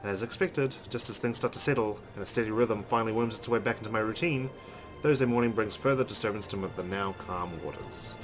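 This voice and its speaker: A man hosting a let's play, reading a visual novel's narration aloud in an expressive storytelling way. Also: lowish voice, a little fast. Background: background music with guitar.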